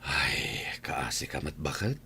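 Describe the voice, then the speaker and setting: A man's voice: very deep voice, sing-song, takes it slowly, bright, forceful tone, slightly rough, heavily acted. A man playing a weary, reproachful husband and father in a radio drama, with an Ilocano accent.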